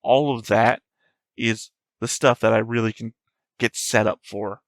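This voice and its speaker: A man's voice: on the low side, fairly even intonation, a little slow, dry recording. A man hosting a reaction video, giving casual analytical commentary in a rambling, thinking-aloud way.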